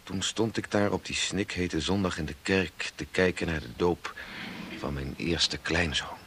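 A man's voice: low voice, measured pace, a bit bright, a little hiss or hum. An older actor playing a devout, reflective grandfather who narrates his family's story earnestly and with measure in a 1960s radio drama. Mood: reflective, contented.

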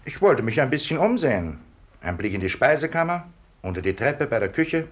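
An actor playing an easygoing, inquisitive gentleman captain in a 1950s radio play, mild and musing in manner. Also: mid-range voice, big pitch swings, fairly quick. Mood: musing, chatty.

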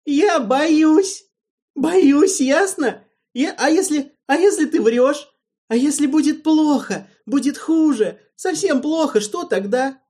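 A man's voice: high voice, lively intonation, measured pace, hardly any pauses, voice pushed up. A man voicing a hysterical, spiteful young male king who throws childish tantrums. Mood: frightened, shrill. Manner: shrieking, petulant, childish tantrums.

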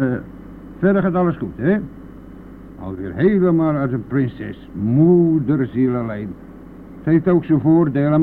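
An actor playing a chatty, coarse, self-pitying, hard-drinking bus driver who needles his passenger in a colloquial radio-drama style. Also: fairly high pitch. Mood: nosy, needling. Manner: garrulous, jovial, needling, colloquial. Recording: tinny, band-limited, noisy old radio-play recording.

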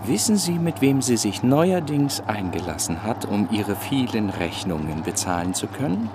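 A man's voice: on the low side, very animated, normal speed, very noisy. An actor playing a seedy, down-at-heel male broker with an insinuating, gossipy manner in a radio play. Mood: gossipy, conspiratorial.

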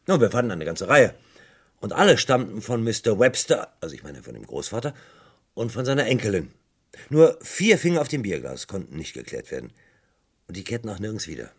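An actor playing a persistent, wry police detective in a German radio crime drama, questioning and recounting in a dry, conversational way. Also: male voice, fairly low pitch, big pitch swings, fairly quick, husky, exaggerated voice. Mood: reflective.

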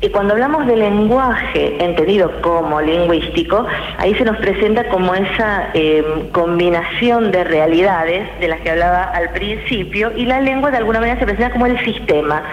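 A woman, a guest linguist on a radio interview, explaining in a measured, academic way with many hesitations. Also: mid-range voice, faint music behind. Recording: roomy, muffled radio with hiss.

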